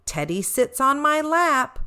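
A woman's voice: sits high, big pitch swings, slow, heavy background noise, voice pushed up, full character voice. A woman voicing a proud, eager little toddler boy from a picture book in an exclaiming, sing-song way. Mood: excited, proud.

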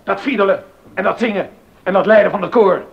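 An actor playing a stubborn, indignant composer and choir director in a docudrama, with an emphatic, commanding, formal delivery. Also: male voice, high voice, fairly quick, vocal fry. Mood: indignant, defiant. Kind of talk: Dutch docudrama acting. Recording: dull, lo-fi sound.

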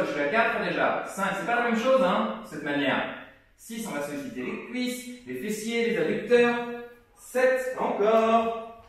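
Young male fitness coach, high animated voice, counts reps, very roomy distant sound. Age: young adult. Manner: counts reps aloud, lively encouraging coaching. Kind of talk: guided workout instruction. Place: gym studio, indoors. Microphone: far camera. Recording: very echoey room, voice from far off.

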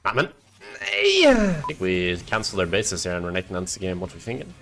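Animated young Norwegian streamer, low voice. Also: male voice, brisk, vocal fry, soft background music. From Norway. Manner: joking, swears and shouts at game moments. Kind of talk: live gameplay commentary and chat banter.

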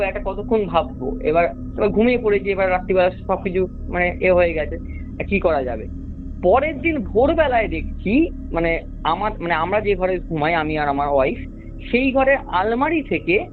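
A young man with a West Bengali accent, giving a rambling, repetitive, earnest account as a caller over the phone. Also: high voice. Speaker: caller recounting strange events at home. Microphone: phone call. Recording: narrow tinny phone line, music behind. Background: music.

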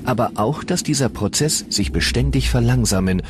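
A man narrating a science documentary in a calm, measured way, recorded as a studio voice-over. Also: mid-range voice, background noise. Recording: voice-over with music underneath. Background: music bed.

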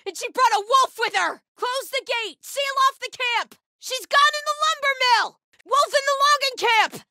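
A grim, zealous woman soldier of a religious cult for a video game. Her voice is high and bright, with a stern, solemn edge, recorded dry and close. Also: dry recording, full character voice. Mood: urgent, shouting. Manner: solemn, stern, swinging from hushed muttering to shouted alarms. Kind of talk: video game combat voice lines.

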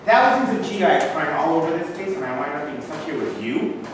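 A young man plays a wisecracking wartime GI in a stage reading. The voice is lively and a bit high, full of needling sarcasm. It comes from far off in a very reverberant room. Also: fairly quick. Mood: exasperated. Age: young adult. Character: grumbling, wisecracking American GI, a Braves fan. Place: bare rehearsal room, camera at a distance. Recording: echoey hall from far off, faint noise.

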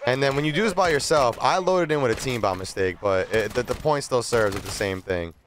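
A man's voice: mid-range voice, brisk, fairly bright tone. A young man who streams games, giving casual gameplay commentary and tips in slangy, repetitive explanations. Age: young adult. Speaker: game streamer. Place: small streaming room at a desk. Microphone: close desk microphone. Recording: game gunfire and vehicle noise behind. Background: video game gunfire and ambience.

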